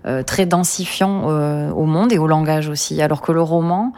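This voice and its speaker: A woman writer, a poet and novelist, answering questions thoughtfully and with precision, pausing on fillers. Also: low voice, warm tone. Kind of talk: conversational interview answer.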